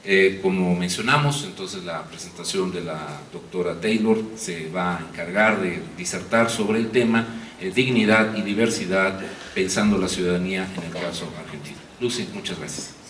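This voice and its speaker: A man, a conference moderator giving a formal speaker introduction, halting and full of fillers. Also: low-pitched, fairly bright tone. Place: large conference hall. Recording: echoey hall, distant mic, faint noise.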